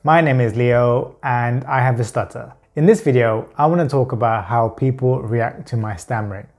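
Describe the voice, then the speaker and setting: A man who stutters, talking about his experiences with long blocks, halting pauses and repeated words. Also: mid-range voice, a little mellow. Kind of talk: personal talk to camera.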